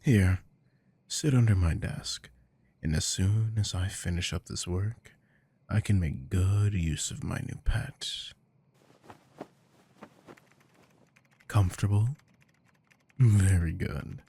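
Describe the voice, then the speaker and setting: A man acts out a dominant, possessive boss in an ASMR roleplay. His voice is very low and gravelly, husky at the edges. He coaxes and commands in a smooth, teasing murmur that slips toward whispers. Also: slow, dark tone, full character voice. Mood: smug, coaxing. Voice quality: deep, gravelly. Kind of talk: intimate ASMR roleplay to the listener.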